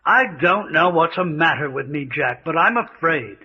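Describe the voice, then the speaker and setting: Nervous, high-voiced husky adventurer. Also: male voice, lively intonation, moderate pace. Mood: afraid. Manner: fretful, questioning, nervous. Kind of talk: radio mystery acting. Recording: dull, lo-fi radio recording.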